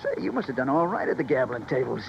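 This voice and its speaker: An American actor playing a cocky, menacing armed robber who barks orders in gangster slang, in a 1950s radio crime drama. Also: male voice, mid-range voice, very animated, fast talker, creaky. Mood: gloating. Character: menacing armed stick-up man, cocky and gloating. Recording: noisy muffled vintage radio recording.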